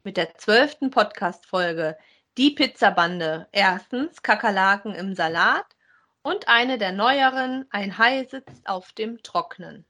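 A woman's voice: mid-range voice, animated, slow, bright, forceful tone. A woman co-hosting a podcast, talking casually and conversationally as she reviews audio dramas with a partner. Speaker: podcast co-host.

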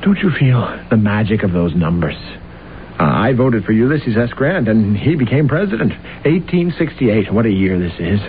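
An actor playing a boastful, ambitious young clerk with a grand, flattering manner, in old-time radio drama style. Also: male voice, on the low side, normal speed. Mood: boastful, excited. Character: boastful ambitious young man, a smooth-talking law clerk courting a lady. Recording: tinny, noisy vintage radio recording.

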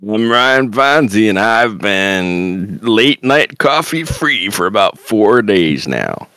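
A man co-hosting a podcast in casual chat, excitable and quick to laugh. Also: on the low side, no room sound. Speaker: podcast co-host.

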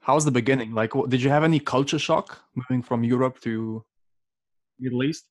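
A man's voice: mid-range voice, fast talker. A man hosting an interview, asking casual follow-up questions in a conversational way. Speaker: host.

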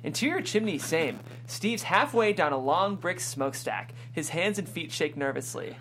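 A woman's voice: on the low side, expressive, normal speed, fairly bright tone, slight background noise, poor audio. A woman reading stage directions as narrator at a live script table read.

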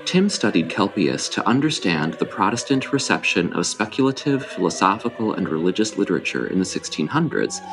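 A man with a fairly low voice hosts a podcast. He speaks formally and courteously in well-formed sentences, as in a prepared interview. Music plays clearly behind his voice. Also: poor audio. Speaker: podcast host. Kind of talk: scripted introduction and interview questions.

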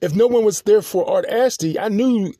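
A man hosting a podcast, telling a long personal story in a halting, rambling monologue full of restarts and fillers. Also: high-pitched, dark tone, dry recording, studio quality. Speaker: podcast host.

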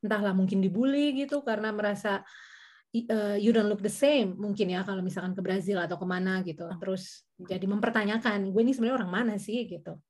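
Woman co-host, rapid-fire questions, mid-pitched, conversational. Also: steady tone, a little mellow. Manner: probing questions, quick delivery. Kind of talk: casual chat.

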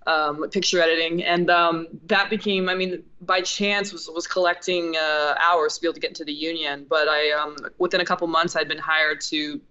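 A woman's voice: deep voice, steady tone, moderate pace, hardly any pauses, pushed and bright, clear, resonant tone, highs cut off. A woman film director as an interview guest, talking candidly and casually, swearing now and then and restarting sentences.

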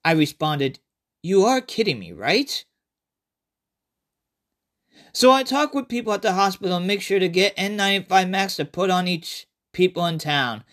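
A man's voice: fairly high pitch, a bit bright. A man reading his own short horror story aloud as a dramatic first-person narrator.

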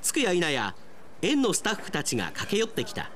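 A man's voice: high voice, huge pitch swings, a little fast, fairly bright tone, slight background noise. A man reading dramatic scripted narration for a Japanese radio drama.

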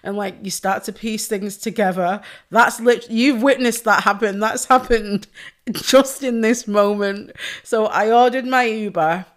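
A woman hosting her own podcast alone, hoarse and losing her voice, chatting casually, swearing freely and laughing often. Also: mid-range voice, measured pace. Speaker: podcast host. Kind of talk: casual solo podcast chat.

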